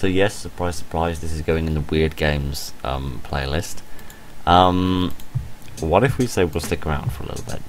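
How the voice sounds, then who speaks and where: A man's voice: extremely low pitch, moderate pace, a little hiss or hum. A man reading a visual novel aloud as a game host, mixing playful, dramatic delivery with casual commentary. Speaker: host of a game playthrough channel. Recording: music behind the voice. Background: game music.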